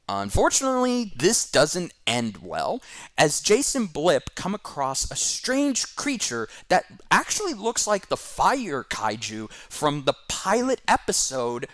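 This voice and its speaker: Animated man narrating a comic review. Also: mid-range voice, dry recording.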